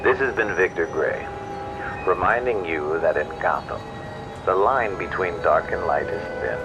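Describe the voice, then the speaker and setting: A man playing a brooding, philosophical late-night radio host, solemn and measured, pausing often. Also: deep voice, lo-fi sound. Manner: slow, solemn, measured with long pauses. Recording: loud music under the voice.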